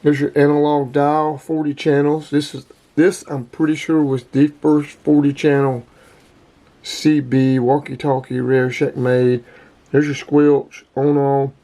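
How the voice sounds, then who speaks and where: Male hobbyist host, informal and plain-spoken, medium pitch, talking through a casual gear review. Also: warm tone. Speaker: host of a radio hobby channel.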